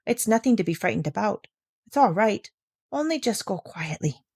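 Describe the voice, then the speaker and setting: A woman reading aloud voices a kindly older male doctor in a storybook, speaking in a gentle, soothing way. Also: medium pitch, lively intonation, normal speed, husky.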